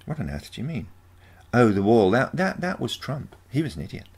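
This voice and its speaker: A man's voice: mid-range voice, sing-song, a little fast, darkish tone, breathy edge, pitched above the performer's own voice. A man voicing a wry, sarcastic, skeptical grandmother in a short story reading. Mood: questioning, skeptical.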